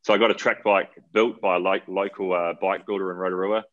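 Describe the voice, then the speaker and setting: NZ male guest, very low voice, relaxed rambling, muffled audio. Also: brisk. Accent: New Zealand. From New Zealand. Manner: relaxed, rambling, repeats words. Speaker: guest, cyclist. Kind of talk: casual interview talk. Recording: lo-fi, dull audio.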